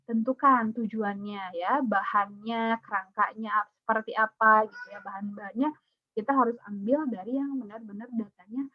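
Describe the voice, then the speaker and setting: A woman's voice: fairly high pitch, steady tone, moderate pace, dry recording. A middle-aged woman lecturer with a light Sundanese accent, giving an online class in a chatty way, full of fillers and restarts. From Sundanese region of West Java. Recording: dull, dry lo-fi call audio.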